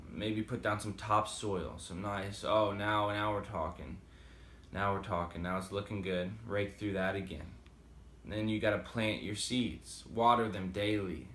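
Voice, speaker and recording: A man's voice: on the low side, fairly even intonation, measured pace, a bit bright, echoey room, a little hiss or hum. A man with a slight Boston accent talking casually and rambling with many fillers in a motivational vlog. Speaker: vlogger. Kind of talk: casual motivational talk to camera.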